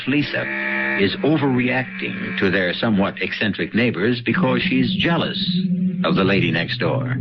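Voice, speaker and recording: A man hosting and narrating a radio drama in a measured, theatrical scripted style. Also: fairly low pitch, noisy background. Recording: dull vintage radio recording, music behind. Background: music.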